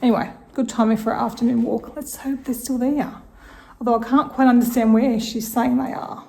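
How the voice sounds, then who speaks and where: Chatty middle-aged Australian woman, rather high. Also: steady tone, fairly quick, gravelly. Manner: chatty, rambling, thinking aloud with soft laughs. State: slightly croaky voice. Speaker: vlogger. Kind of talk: casual day-in-the-life vlog narration. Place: home kitchen.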